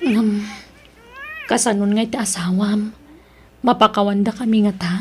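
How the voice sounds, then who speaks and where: A woman acting an emotional, outspoken younger sister and caretaker in an audio drama, with expressive, emphatic delivery. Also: medium pitch, normal speed, a little hiss or hum, close to an everyday voice. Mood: subdued.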